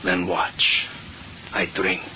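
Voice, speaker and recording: An actor playing a menacing, megalomaniac doctor in an old radio thriller, with a formal, theatrical, intense way of speaking. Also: male voice, low voice, monotone, very slow. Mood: manic, exultant. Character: menacing megalomaniac male doctor with a secret speed potion. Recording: muffled, noisy vintage radio recording.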